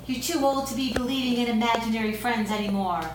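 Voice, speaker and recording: A woman's voice: on the high side, quick pace, lightly acted. A woman playing a firm, fed-up mother scolding her young son in an amateur script read. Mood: firm, impatient. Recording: very echoey room, distant mic, hiss.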